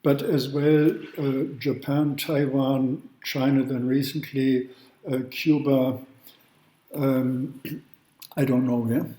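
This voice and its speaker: A man from Germany, a law professor giving a university lecture in a halting way, with restarts and throat clearing. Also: medium pitch, level tone, dark tone. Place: large classroom. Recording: echoey room.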